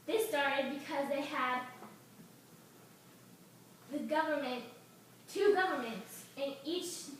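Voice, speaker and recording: A woman's voice: high voice, takes it slowly, long pauses. A young woman acting out an amateur puppet show as a student. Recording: echoey room, distant mic, faint noise.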